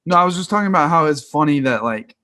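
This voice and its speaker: A man's voice: on the high side, lively intonation, quick pace, bright, forceful tone, no room sound, studio quality. A man in his twenties chatting casually and enthusiastically about films on a video call, rambling with lots of slang. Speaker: film podcast co-host. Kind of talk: casual film chat.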